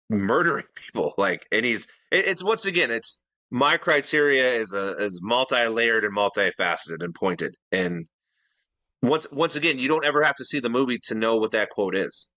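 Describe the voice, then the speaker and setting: A man's voice: medium pitch, sharp tone, no room sound. A man co-hosting a casual podcast who defends his list with rambling, restarting explanations. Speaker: podcast co-host. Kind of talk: casual debate chat.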